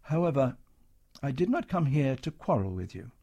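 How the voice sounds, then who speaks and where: A man's voice: mid-range voice, big pitch swings, moderate pace, warm tone, breathy edge, dull audio. A man doing a stern hospital matron. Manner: firm and cutting. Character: brisk, stern wartime hospital matron, woman.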